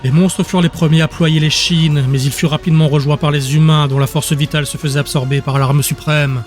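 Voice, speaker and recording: A man's voice: on the high side, flat delivery, a little fast. A man reading dramatic scripted narration as an audiobook narrator. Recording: music behind the voice.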